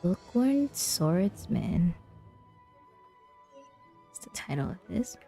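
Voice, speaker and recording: A woman's voice: lowish voice, big pitch swings, drawn-out words, creaky, warm tone. A woman casually chatting as she streams a game, her voice affected by a cold she is recovering from. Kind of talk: casual gameplay stream chat. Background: faint game music.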